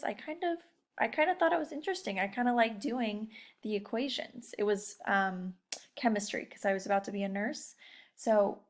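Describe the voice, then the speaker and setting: A young woman teacher chatting casually and encouragingly to children, often putting questions straight to her listeners. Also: mid-range voice. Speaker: teacher leading a read-aloud lesson.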